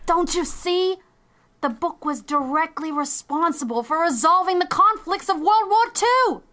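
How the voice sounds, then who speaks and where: A woman's voice: very high voice, measured pace, sharp tone, exaggerated voice. A woman playing an eccentric, doting elderly grandmother in an audio drama, rambling and theatrical, full of endearments. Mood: earnest storytelling.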